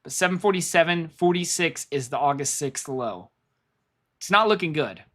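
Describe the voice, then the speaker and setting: Hoarse man rambling through market levels. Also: a little high, lively intonation, normal speed, a bit bright. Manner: rambling, repeats himself, thinks aloud. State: losing his voice. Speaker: stock market streamer. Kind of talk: live trading commentary.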